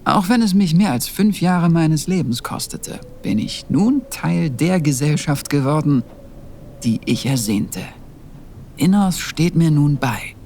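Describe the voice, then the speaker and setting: A man plays a humble, devout young male novice in a fantasy audio drama. His slightly high voice is slow and solemn, over faint noise. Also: animated, darkish tone. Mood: reflective, earnest. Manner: solemn, measured. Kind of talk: fantasy audio play monologue and prayer.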